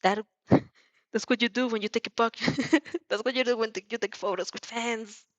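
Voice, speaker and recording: Woman putting on a loud, high-pitched brash hero guy. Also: fairly even intonation, normal speed, dry recording, natural voice. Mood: insistent. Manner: exclamatory, theatrical. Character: loud, excitable adult male hero, brash and friendly.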